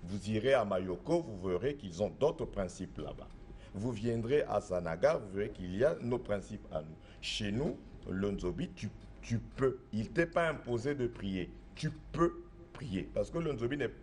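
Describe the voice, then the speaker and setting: A man's voice: on the low side, lively intonation, a little slow, a little mellow, slight background noise. A middle-aged man from Congo, a traditional spiritual master, answering interview questions in an assertive, insistent way that repeats points. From Republic of the Congo.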